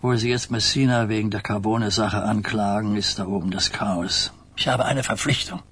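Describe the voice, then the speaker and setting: An actor playing a grave, weary old mafia boss in a radio crime drama, an older man with a husky voice. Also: on the low side, fairly even intonation, normal speed, a bit bright. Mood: resigned.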